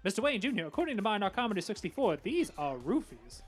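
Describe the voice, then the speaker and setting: A man voicing a prim, formal butler sidekick in an animated comedy, anxious and proper. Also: high voice, fast talker, soft background music. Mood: urgent. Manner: prim and formal, rising to frantic urgency.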